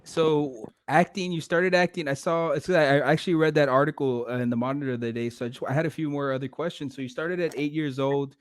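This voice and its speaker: Smooth, casual man hosting a podcast. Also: on the high side, steady tone, fast, hardly any pauses, dry recording. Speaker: podcast host. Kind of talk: casual interview chat.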